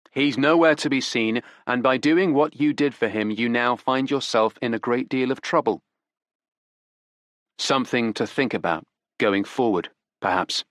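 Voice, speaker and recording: A man voicing a calm, measured male detective in an audiobook, speaking flat and deliberate. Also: mid-range voice, dry recording, studio quality, pitched above the performer's own voice, close to an everyday voice. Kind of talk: audiobook character voice.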